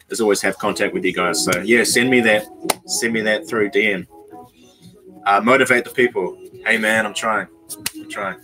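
A man's voice: on the low side, poor audio. Laid-back young man chatting with viewers. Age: young adult. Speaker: YouTuber reading live chat. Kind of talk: casual livestream chat with viewers. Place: small bedroom, indoors.

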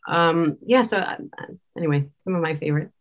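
Female, middle-aged, slightly low voice, relaxed panel-guest conversation over a video call. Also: a little fast. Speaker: film director, interview guest. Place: indoor room, on a video call.

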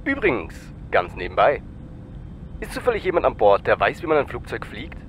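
An actor playing an unhinged but blithely calm airline captain making a deadpan cabin announcement in a parody audio play. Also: male voice, mid-range voice, a little fast, slight background noise.

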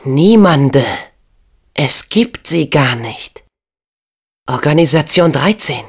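Casting: brave teenage boy game hero, earnest and upbeat, very animated pitch, unhurried pacing. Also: husky, full character voice. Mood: curious, earnest. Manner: earnest, expressive, youthful. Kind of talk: video game voice acting.

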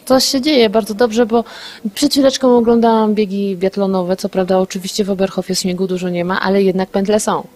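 Young female athlete, frank post-race interviewee, middle pitch, fast, lots of restarts and light laughs. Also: fairly even intonation, a little mellow. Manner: candid, quick, with many restarts and light laughs.

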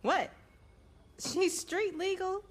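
An actress with an American accent playing a cocky, excitable young street racer in a video game, brash and slangy. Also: female voice, extremely high pitch, takes it slowly, exaggerated voice. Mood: eager. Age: young adult.

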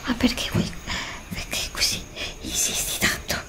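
Anxious whispering woman in ASMR roleplay. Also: measured pace. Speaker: ASMR creator. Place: indoor room before a green screen. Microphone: desk studio mic.

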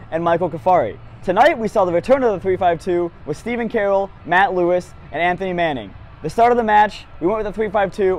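A man's voice: high-pitched, darkish tone. A young man giving post-match soccer commentary to camera as a fan vlogger, recorded outside a stadium on a lapel mic. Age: young adult. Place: outdoors on a street outside a stadium. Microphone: small lapel mic clipped to shirt. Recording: outdoor street ambience with clear background noise.

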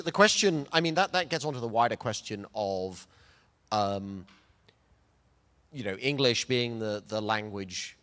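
A man's voice: lowish voice, fairly bright tone. Man in a reverberant hall, reasoning. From Netherlands. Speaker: panelist. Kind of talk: panel discussion. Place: large lecture hall.

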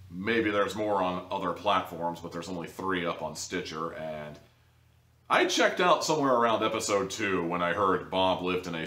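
Low-voiced man reviewing in an echoey room. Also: sharp tone. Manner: blunt, sarcastic, exasperated. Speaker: audio drama reviewer, host. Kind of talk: casual opinionated review to camera. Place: living room, indoors. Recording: echoey room, distant microphone.

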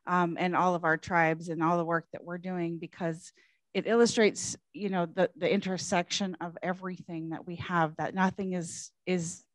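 A woman speaks into a handheld mic in a meeting room, appreciative and a little halting. Her voice is low for a woman. The sound is dry. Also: little pitch movement, dry recording. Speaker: consortium staff member. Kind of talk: thank-you remarks.

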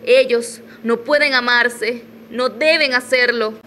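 Woman acting imperious, high-voiced soap-opera mother. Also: steady tone, takes it slowly, sharp tone, slight background noise. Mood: desperate, pleading. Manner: haughty, melodramatic. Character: imperious, desperate mother confronting her former lover.

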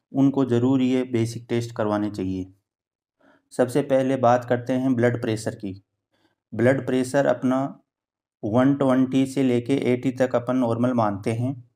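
Calm man explaining heart tests. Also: mid-range voice. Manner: calm, steady explanatory delivery, reading out numbers and ranges. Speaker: cardiologist. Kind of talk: educational health talk to camera. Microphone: camera at close framing, head and shoulders.

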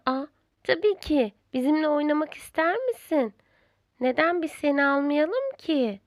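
Woman voicing a high, cheerful young forest animal. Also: expressive, unhurried, dry recording, clean studio sound. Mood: friendly, inviting. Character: friendly, curious young forest animals at play.

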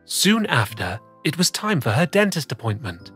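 A children's story host reading a picture book in an expressive, deliberate way for young listeners. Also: mid-range voice, faint music behind. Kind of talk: animated scripted picture-book narration.

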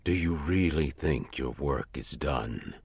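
An actor playing an ominous, commanding shadowy apparition out for vengeance in a horror radio drama, with a deep gravelly voice. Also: male voice, lively intonation, darkish tone, no echo, heavily acted. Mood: menacing. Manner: slow, commanding.

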